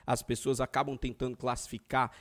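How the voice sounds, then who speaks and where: A man with a Northeastern Brazilian accent from Ceará, co-hosting a podcast in lively, teasing casual banter. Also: mid-range voice, a little fast. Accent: Northeastern Brazilian Portuguese, Ceará. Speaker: podcast co-host.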